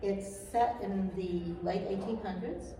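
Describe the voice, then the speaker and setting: An older woman with a soft voice, answering casually as the author guest in an interview. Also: mid-range voice, a little slow. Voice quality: soft-spoken. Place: echoey room at a writer's retreat, background noise. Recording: echoey distant room mic with faint noise.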